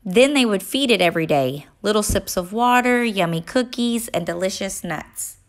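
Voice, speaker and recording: A woman reading a children's story aloud in an expressive, deliberate way for young listeners. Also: medium pitch.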